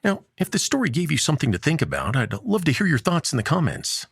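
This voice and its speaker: A man narrating a scripted audio story as its host, in a measured, dramatic way with deliberate pauses. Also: fairly high pitch, huge pitch swings, gravelly, slightly rough, dry recording, studio quality.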